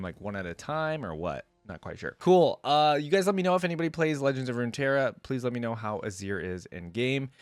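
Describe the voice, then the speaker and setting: A man's voice: medium pitch, clean tone, no echo. A man hosting a reaction video, commenting casually and thinking aloud in informal language full of "like".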